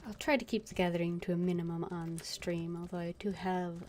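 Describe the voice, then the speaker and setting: A woman game streamer giving casual live commentary, often murmuring quietly as if to herself. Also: fairly low pitch, fairly even intonation, a little fast.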